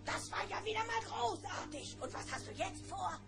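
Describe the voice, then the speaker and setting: A woman's voice: extremely high pitch, animated, normal speed, gravelly, exaggerated voice. An actress playing a bossy, motherly and easily exasperated gummi bear in a German children's audio play. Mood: dry. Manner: scolding, emphatic. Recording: cassette audio play with music behind.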